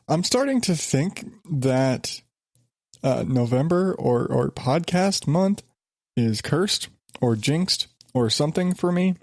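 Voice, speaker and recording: A man's voice: on the high side, dry recording. A man hosting his own podcast, stuffed up from a sinus infection and talking in an exasperated, rambling way with many restarts. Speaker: podcast host. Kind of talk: casual solo podcast.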